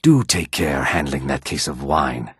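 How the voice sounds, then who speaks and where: Lizard man npc for a fantasy game, real deep n husky, sly but polite, kinda formal, dry studio sound. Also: lively intonation, measured pace, fairly bright tone, no room sound, heavily acted. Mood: smooth, businesslike. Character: adult male Argonian lizard-man, sly, courteous fantasy townsman. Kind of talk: scripted video game dialogue acting.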